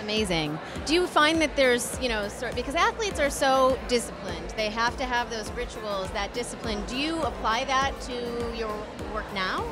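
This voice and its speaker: A woman's voice: fairly high pitch, animated, moderate pace, hardly any pauses. A woman hosting red carpet interviews, bubbly and inquisitive, speaking into a handheld mic. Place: indoor event red carpet with crowd and music. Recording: noisy event with loud music and crowd.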